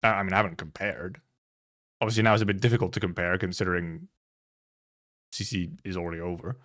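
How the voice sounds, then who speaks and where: A man live streaming in casual chat, rambling and haltingly thinking aloud, with some offhand swearing. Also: deep voice, steady tone, fairly bright tone. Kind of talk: casual chat, reacting to viewers.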